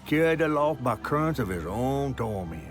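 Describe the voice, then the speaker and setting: A man playing the storyteller of a video game, narrating a dark folk tale in a measured, dramatic storybook style. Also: medium pitch, big pitch swings, close to an everyday voice. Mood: dramatic storytelling. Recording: music behind the narration.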